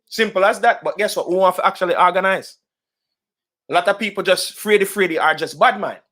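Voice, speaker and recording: Jamaican man, high voice, fast talker, rousing, asks rhetorical questions. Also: sharp tone. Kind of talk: impassioned call to action.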